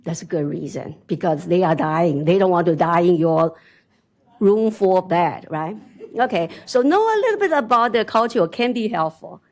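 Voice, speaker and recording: A middle-aged woman with a strong Chinese accent giving an animated conference talk, often laughing and asking "right?". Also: lowish voice, normal speed. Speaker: conference speaker. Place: conference hall.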